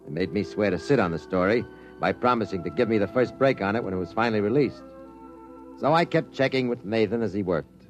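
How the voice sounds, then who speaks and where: Man playing a lively, skeptical radio drama newspaperman. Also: on the low side, fairly quick, soft background music, lightly acted, low-quality recording. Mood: storytelling. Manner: wry, probing, punchy questions. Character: brisk, skeptical, inquisitive male newspaper reporter. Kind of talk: 1950s radio drama acting with first-person narration. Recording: vintage 1950s radio recording.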